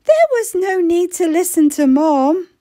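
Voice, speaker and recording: A woman narrates a children's storybook with plenty of expression. Her voice is high and very melodic, and she reads slowly in a close, dry sound. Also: animated, warm tone, no room sound.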